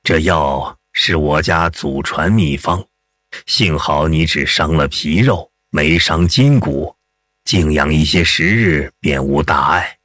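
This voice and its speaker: A man playing a kindly, deep-voiced old hunter. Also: a bit bright, no echo, clean studio sound, exaggerated voice. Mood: reassuring. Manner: unhurried, drawn-out, reassuring. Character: kindly old hunter, hearty, modest village healer. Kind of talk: audiobook character acting.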